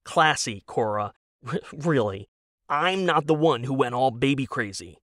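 A man's voice: mid-range voice, animated, unhurried, a bit bright, no echo, studio quality. A man playing a defensive, petulant young husband in an audio drama, argumentative and hesitant, stammering as he makes his points. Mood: indignant.

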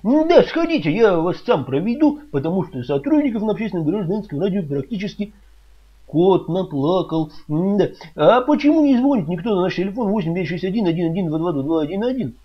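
A man's voice: high-pitched, expressive, fast talker, breathy edge, muffled recording. A man playing a fussy, pompous, flustered radio host who stammers and hesitates in a comedy sketch. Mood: peevish.

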